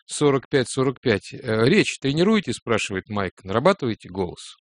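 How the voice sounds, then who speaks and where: A man's voice: medium pitch, big pitch swings, normal speed, dry recording. A man from Moscow with a Moscow accent, hosting a radio show in a casual, teasing, jokey way with laughter. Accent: Moscow Russian. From Moscow, Russia. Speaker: radio host. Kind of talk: casual on-air chat.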